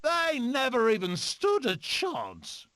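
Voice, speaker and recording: Cocky weasel adventurer for a cartoon game, high bright voice that swoops all over, theatrical and boastful. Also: male voice, wildly swooping pitch, slow, slight background noise, heavily acted. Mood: triumphant, boastful. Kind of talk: animated film video game voice clips.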